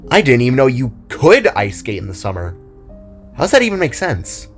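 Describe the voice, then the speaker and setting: A man's voice: medium pitch, huge pitch swings, brisk. A man voicing an earnest, sensitive young boy in a narrated fantasy story. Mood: wondering. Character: earnest young boy spark, wondering, guilt-ridden friend. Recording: music behind the voice.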